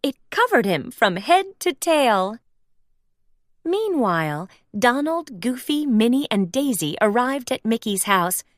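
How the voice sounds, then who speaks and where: A woman narrating a children's storybook aloud in an expressive read-along style. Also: a little high, slow pace, no room sound, clean studio sound.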